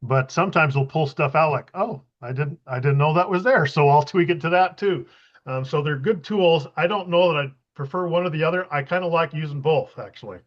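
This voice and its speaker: A man's voice: on the high side, brisk. A man giving a casual, tentative explanation in an online group meeting over a video call. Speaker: presenter sharing job-search tool experience. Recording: slightly muffled video-call audio.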